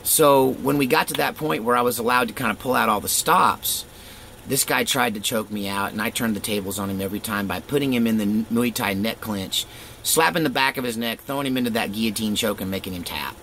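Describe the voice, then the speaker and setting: A middle-aged man with a low voice tells a personal story in a loose, rambling way, stopping for fillers and small chuckles. A faint hiss of background noise sits under his voice. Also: a little fast, gravelly, bright, forceful tone.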